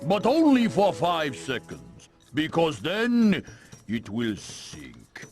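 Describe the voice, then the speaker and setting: Pompous chief, video game cutscene, deadpan asides, very animated delivery, music behind. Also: male voice, a little high, slow pace, full character voice. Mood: grandly ceremonial. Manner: grand announcements undercut by deadpan asides.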